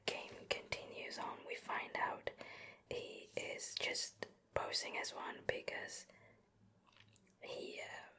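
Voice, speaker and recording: A native Swedish-speaking woman whispering a soft, breathy ASMR show and tell in a casual, rambling, hesitant way. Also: slow pace, long pauses. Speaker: ASMR video host.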